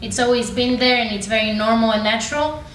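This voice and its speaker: A young woman from Bulgaria makes an earnest case as a student speaker. Her voice sits fairly high. It is picked up from a distance in an echoing room, with some faint noise. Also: quick pace, a bit bright. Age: 20s. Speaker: student speaker on a youth panel. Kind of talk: prepared public speech. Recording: roomy, distant mic, background room noise.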